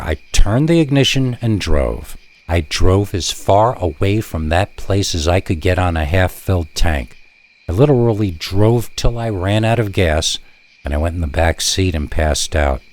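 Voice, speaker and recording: A man reading a first-person scary story in a measured, deliberate way with dramatic pauses. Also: low voice. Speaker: narrator reading scary stories.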